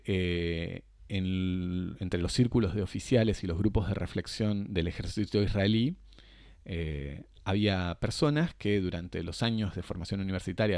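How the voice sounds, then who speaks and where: A man speaks on a panel in an academic register, stopping often on fillers and restarts. His voice sits very low with a gravelly fry. The sound is close and dry. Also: darkish tone, no echo. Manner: hesitant, many fillers and restarts. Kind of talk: academic talk.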